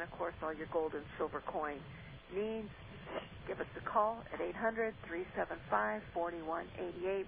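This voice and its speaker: A woman's voice: lowish voice, gravelly. A woman co-hosting a radio show, chatty and losing her voice, who also reads the sponsor plugs. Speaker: radio co-host and sponsor representative. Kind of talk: casual radio talk and ad reads. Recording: tinny phone-line radio audio with faint hiss.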